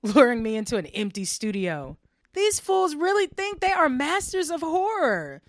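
A woman's voice: high voice, big pitch swings, normal speed. A woman voicing a fed-up late-night radio host who talks straight to her listeners. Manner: sarcastic, talking straight to listeners.

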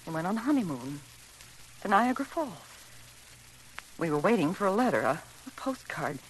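Casting: fragile, courteous mother, halting and pleading, very mobile intonation, faintly noisy vintage radio. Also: fairly low pitch, sing-song. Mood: stunned, grieving. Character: polite, flustered, grieving middle-aged mother and housewife. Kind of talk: old-time radio drama acting.

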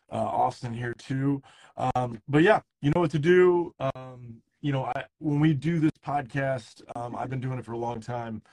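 A man in his thirties hosting a baseball podcast, talking loosely with lots of fillers and restarts. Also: mid-range voice. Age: 30s. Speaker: podcast host. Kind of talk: casual interview chat. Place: San Diego.

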